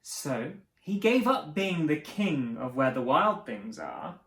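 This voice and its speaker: A man hosting a picture book reading, narrating theatrically for children with dramatic pauses. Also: on the high side, big pitch swings, gravelly, a bit bright. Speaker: host reading picture books aloud. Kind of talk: animated children's story narration. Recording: roomy, echoing sound.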